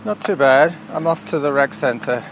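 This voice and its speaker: A man's voice: on the high side, moderate pace, a little hiss or hum. A man talking casually while out and about, in everyday chat and observations.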